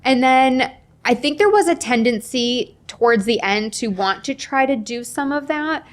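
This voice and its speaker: Middle aged woman, kinda high voice, chatty podcast guest telling stories real expressively. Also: normal speed. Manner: chatty, expressive, acts out remembered dialogue. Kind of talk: casual interview chat with animated storytelling.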